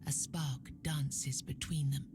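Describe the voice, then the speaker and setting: An ominous female storyteller for a dark fantasy game. Her low, hushed voice moves slowly and solemnly through the narration. Music plays clearly behind her. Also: little pitch movement. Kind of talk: dramatic scripted game narration.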